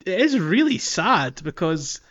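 A man hosting a casual podcast about a game, talking in a halting, thoughtful way. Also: high-pitched, very animated, fairly bright tone. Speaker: podcast host. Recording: slightly dull, muffled audio.

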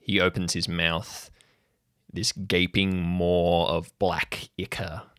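A man working as game master, narrating a tabletop roleplaying game in measured, descriptive storytelling. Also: deep voice, flat delivery, a bit bright, clean tone.

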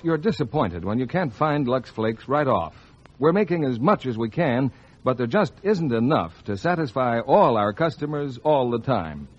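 An American man reading scripted announcements and sponsor pitches as a 1940s radio announcer, on a vintage radio recording. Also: slightly rough, dull audio.

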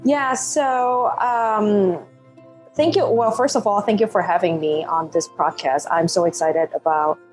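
A woman in her thirties from Hong Kong, a Cantonese native speaker with a Hong Kong English accent, telling her story as a podcast guest in animated, laugh-filled talk. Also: mid-range voice, unhurried, faint music behind, low-quality recording. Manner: animated, laughs often, non-native phrasing. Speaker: guest, life coach. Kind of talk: podcast interview.

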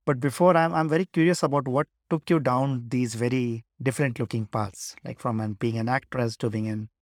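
A man's voice: mid-range voice, steady tone, fairly quick, no room sound, clean studio sound. A man hosting a conversational interview, putting his questions in a hesitant, reflective way. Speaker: podcast host, interviewer.

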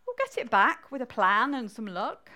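A woman voicing a kindly mother mole, drawn-out and deliberate, in a rhyming children's story. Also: fairly high pitch, big pitch swings, noisy background. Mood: motherly.

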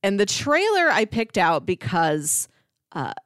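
A woman co-hosts a casual film podcast. Her voice sits at a middle pitch and she explains things conversationally. The sound is dry, free of room echo. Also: big pitch swings, takes it slowly, a bit bright. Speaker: podcast co-host.

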